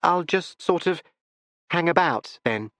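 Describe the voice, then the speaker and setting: A man's voice: a little high, expressive, slow, a bit bright, no echo, studio quality, pitched above the performer's own voice, full character voice. A man reading an audiobook and voicing a spirited young woman who pleads breathlessly and urgently. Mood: anxious.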